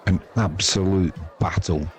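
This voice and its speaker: Deep-voiced older British man commentating cricket. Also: measured pace. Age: 50s or older. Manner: chatty, rambling, colloquial. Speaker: sports commentator. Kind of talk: casual ball-by-ball cricket commentary. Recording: crowd noise behind the voice.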